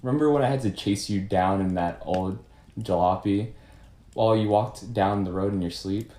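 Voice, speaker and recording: A man's voice: on the low side, moderate pace, warm tone, lightly acted. Man as skeptical, echoey young-sleuth type. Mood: pointed. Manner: pointed, skeptical. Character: suspicious, confrontational male amateur detective. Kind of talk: amateur puppet-show murder mystery.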